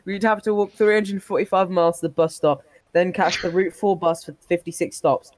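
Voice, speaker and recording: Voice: medium pitch, fairly even intonation, brisk, no echo. A person reading a comic tall tale as an old-timer recalling a harsh childhood, often stumbling and breaking into laughter.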